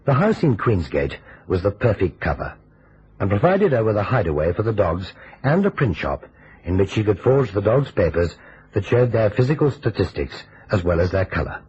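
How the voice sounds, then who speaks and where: A man's voice: fairly low pitch, big pitch swings, moderate pace, a little mellow, husky. A man giving formal, explanatory scripted narration for a vintage radio mystery. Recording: muffled vintage radio recording, faint hiss.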